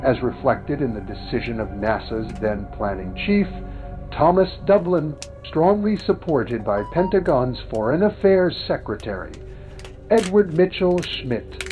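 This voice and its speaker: Tinny, lively male documentary narrator. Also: mid-range voice, a little slow. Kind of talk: formal scripted documentary narration. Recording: tinny phone-like sound over music. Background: background music.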